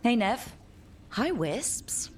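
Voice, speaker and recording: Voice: medium pitch, very animated, extremely slow, a little hiss or hum. An actor playing a young fantasy team leader in a video game, earnest, frank and a little unsure. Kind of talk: video game dialogue acting. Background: background music.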